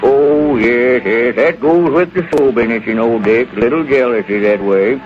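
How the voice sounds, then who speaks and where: An actor playing a folksy circus manager who talks with hesitant, stammering restarts in a 1930s radio comedy. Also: male voice, mid-range voice, normal speed, close to an everyday voice. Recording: lo-fi old radio broadcast.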